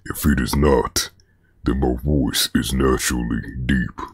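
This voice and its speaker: Brief: male commentary host, extremely low and husky, unhurried, dry close sound. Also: fairly even intonation, no room sound. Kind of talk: commentary vlog.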